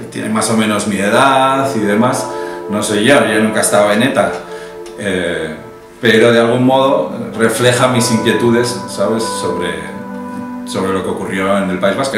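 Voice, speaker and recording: A man the in his fifties, speaking thoughtfully as an interview guest, with frequent restarts and tag questions. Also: lowish voice. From Basque Country, Spain. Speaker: novelist and journalist, interview guest. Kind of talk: interview answers about his novel. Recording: very echoey room, voice from a distance, music behind.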